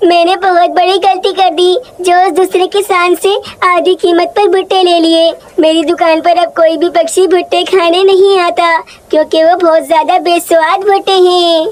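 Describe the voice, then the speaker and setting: A woman's voice: extremely high pitch, flat delivery, normal speed, few pauses. A woman voicing a greedy, pushy female crow shopkeeper in a children's cartoon, with a bossy, scheming manner. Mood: worried.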